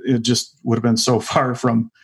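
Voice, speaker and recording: A man's voice: medium pitch, completely flat delivery, moderate pace, breathy edge. A man in his forties, a stand-up comedian guest, chatting casually in an interview with many fillers and restarts. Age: 40s.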